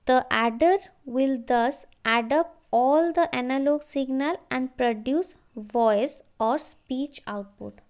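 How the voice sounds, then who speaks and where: A woman giving an explanatory slide lecture, halting and repeating phrases as she goes. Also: high voice, a little mellow, smooth. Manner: halting, repeats phrases, often says okay.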